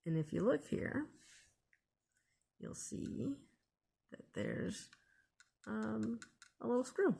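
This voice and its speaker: A woman's voice: fairly high pitch, very animated, slow pace, gravelly, pauses often, mellow, husky. A woman hosting a casual hands-on DIY tutorial, thinking aloud in an unscripted way as she works. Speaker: DIY video host.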